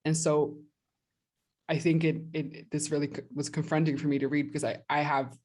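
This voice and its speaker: A woman's voice: low voice, level tone, moderate pace, a little mellow. A woman hosting a podcast, speaking conversationally in a candid, self-reflective manner. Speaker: podcast host.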